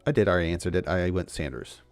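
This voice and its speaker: A man's voice: low voice, fast talker, darkish tone, faint music behind. A man hosting a casual fantasy football livestream, thinking aloud with frequent restarts. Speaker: fantasy football show host.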